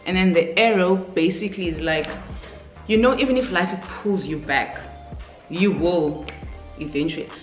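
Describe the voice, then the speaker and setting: A South African woman in her late twenties speaks in a slightly low voice. She talks informally, as in a casual vlog, working out her answers aloud. The room is clearly echoey, with faint music playing underneath. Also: normal speed. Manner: informal, conversational, thinking aloud. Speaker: YouTuber, vlogger.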